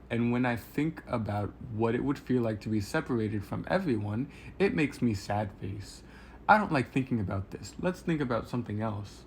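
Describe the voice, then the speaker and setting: Naive, friendly robot from a game, acted by a man; medium pitch, earnest storytelling, audible noise behind. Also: natural voice. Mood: naively sad. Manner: earnest, simple, cheerfully innocent phrasing. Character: friendly, naive, childlike robot narrating his travels. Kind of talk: scripted first-person narration read aloud. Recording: clear background noise.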